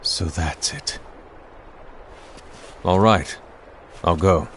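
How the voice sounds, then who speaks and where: A man's voice: low voice, very slow, a little hiss or hum, heavily acted. An actor playing a stern, guarded, commanding male leader in a fantasy game cutscene. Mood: cold, demanding.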